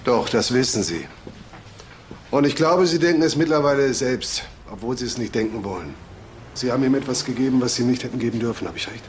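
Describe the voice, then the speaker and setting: A shrewd police chief in a crime radio play, acted by a man, speaking at a middling pitch over clear background noise. He is commanding and probing, with a dry sardonic edge. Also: lively intonation, brisk, natural voice. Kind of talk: German crime radio drama acting.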